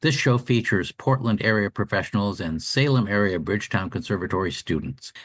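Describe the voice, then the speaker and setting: A man co-hosting a radio show, reading scripted community calendar announcements. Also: fairly low pitch.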